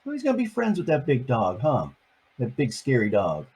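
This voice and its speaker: A man's voice: medium pitch, wildly swooping pitch, moderate pace, darkish tone, breathy edge. An older man reading a picture book aloud to his grandchildren, adding chatty, playful asides between pages.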